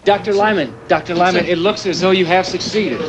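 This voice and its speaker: An actor playing an urgent, halting male TV reporter who persistently questions people live on the scene. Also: sits high, fairly even intonation, lightly acted, low-quality recording. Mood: pressing. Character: pressing male TV field reporter aboard hostage ship. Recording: crowd clamour on live location feed.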